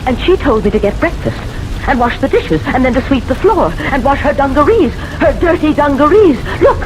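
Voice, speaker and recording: An actress playing an older, distraught and confused lonely woman in an old-time radio drama, halting and agitated as she repeats herself and insists on her story. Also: high voice, expressive, background noise. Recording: old radio recording with music behind.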